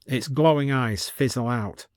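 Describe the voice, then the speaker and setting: A man's voice: medium pitch, measured pace, a little mellow, no echo, studio quality. A man reading scripted comic fiction as an audiobook narrator.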